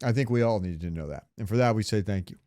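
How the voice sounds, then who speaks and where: A man's voice: on the low side, fast, warm tone, no echo. A man hosting a podcast, chatting casually in a rambling, profane, enthusiastic way on a close microphone. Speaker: podcast host. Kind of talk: casual chat about video games.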